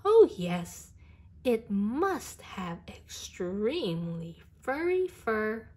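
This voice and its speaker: Woman playing small whimsical storybook girl, insistent, earnest, breathy, lively pitch, stretched words. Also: full character voice. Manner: earnest, emphatic, drawn-out words. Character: whimsical, insistent little girl with quirky ideas.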